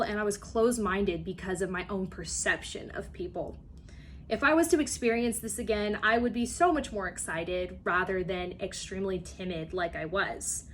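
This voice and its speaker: A woman's voice: medium pitch, slight background noise. A young woman student giving an earnest personal speech for a class, telling a story with occasional fillers. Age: young adult.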